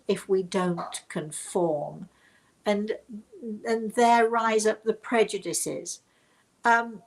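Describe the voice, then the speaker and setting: An older woman speaks in a creaky, medium-pitched voice. She tells personal, reflective stories straight to her audience, leaning on key words and drawing them out with deliberate emphasis. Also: poor audio. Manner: emphatic, deliberate, with rhetorical questions and drawn-out words. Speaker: speaker and storyteller hosting her own show. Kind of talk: reflective personal storytelling addressed to viewers.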